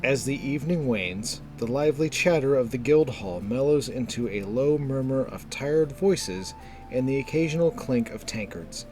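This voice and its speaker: A man narrating a scripted fantasy story in a measured, descriptive storytelling manner. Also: medium pitch, animated, a little mellow, soft background music.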